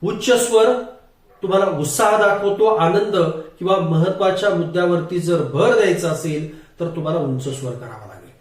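A man giving a formal, explanatory lesson as a public speaking coach, in measured teacher-like phrasing. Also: high-pitched. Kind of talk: instructional lecture to camera. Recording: very echoey room, distant microphone.

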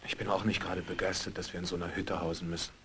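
A devoted young husband in a horror radio play. His voice sits fairly low and he speaks to his beloved with affection. There is faint noise on the recording. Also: flat delivery, rapid-fire, a bit bright. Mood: apologetic.

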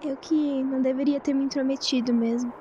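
An actress with a Brazilian accent playing a polite, apologetic receptionist in an audio drama. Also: female voice, sits high, steady tone, a little fast, dark tone, dull audio, a little hiss or hum, natural voice.

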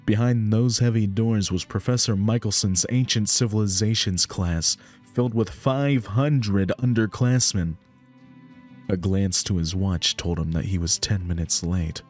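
A man's voice: lowish voice, unhurried, a little mellow. A young man narrating a visual novel as a storyteller. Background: music.

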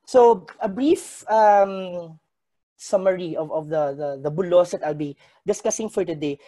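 Man lecturing in a mellow voice. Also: high-pitched. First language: Hiligaynon. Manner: measured, scholarly lecturing with frequent pauses. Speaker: academic presenter. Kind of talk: conference paper talk. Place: indoor room. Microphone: video call webcam.

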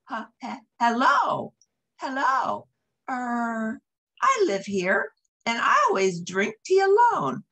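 A woman voicing a shy, lonely, polite big bear in a storybook, speaking in a hesitant, halting way. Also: on the high side, wildly swooping pitch, a bit bright, pitched above the performer's own voice, heavily acted. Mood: shy, hopeful.

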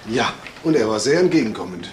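An actor playing a dry, probing police detective with pointed delivery in a German crime radio drama. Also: male voice, mid-range voice, big pitch swings, fast talker, noisy background, natural voice.

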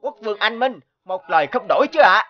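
A man voicing a brash, free-spirited court clown with a mocking, theatrical manner in an animated fairy tale. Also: high-pitched, brisk, muffled recording. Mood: slyly polite.

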